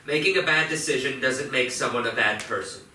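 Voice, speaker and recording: A man in a staged reading playing a remorseful teenage boy, speaking earnestly and deliberately. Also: mid-range voice, bright, forceful tone. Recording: echoey theatre, far mic, faint noise.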